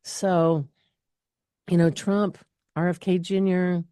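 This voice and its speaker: A middle-aged woman answers viewers' questions in a loose, chatty way, often stopping, restarting and filling gaps with "um". Her voice sits fairly low and sounds mellow and warm. The sound is close and dry. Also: little pitch movement, slow pace, no room sound. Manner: chatty, rambling with many fillers and restarts. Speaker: health clinic host answering viewer questions. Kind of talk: casual live Q&A.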